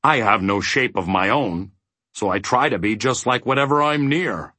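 A man's voice: medium pitch, normal speed, sharp tone, no echo. A man acts out an enormous storybook giant who blusters and grumbles to hide his fear. The speech wavers and hedges peevishly, with the voice close and dry. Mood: anxious, confiding. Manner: bellowing, hedging, indecisive. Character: huge fearful, ferocious gelatinous male giant, peevish.

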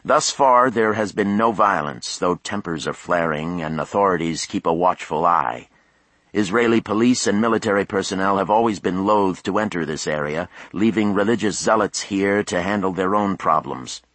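A man's voice: low-pitched, normal speed, fairly bright tone, no echo. A man voicing an urgent on-the-scene television reporter in an audiobook, with formal, projected broadcast delivery.

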